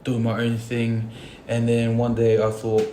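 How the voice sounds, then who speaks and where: A man, a rapper talking in a relaxed, slangy podcast chat. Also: medium pitch, a little slow, slight background noise. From Australia. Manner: laid-back, slangy, agrees often. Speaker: rapper and producer, podcast guest. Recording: roomy studio echo.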